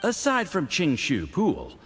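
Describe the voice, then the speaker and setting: A man's voice: high voice, huge pitch swings, a little slow, faint music behind, exaggerated voice. A man playing a smug, scheming diplomat villain in a video game, hearty and patronizing in a theatrical way. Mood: formal, commanding. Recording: long reverberant hall, faint background noise.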